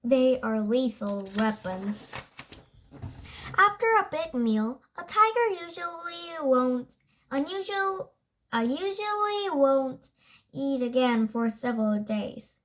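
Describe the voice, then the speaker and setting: High-pitched, slow, halting read-aloud voice. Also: a bit bright. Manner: halting word by word, stumbling and correcting misread words. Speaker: reader. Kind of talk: reading a nonfiction book aloud.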